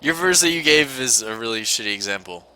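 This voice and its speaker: A young man casually commenting on a game with a friend, joking and laughing often. His pitch moves around a lot, and his tone is sharp and bright. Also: expressive, brisk. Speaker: let's play co-host.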